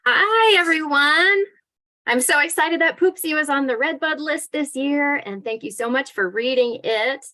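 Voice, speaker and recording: A woman's voice: high voice, a little slow, bright, forceful tone. A woman, a children's author, giving informal, enthusiastic thanks. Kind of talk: informal thank-you remarks.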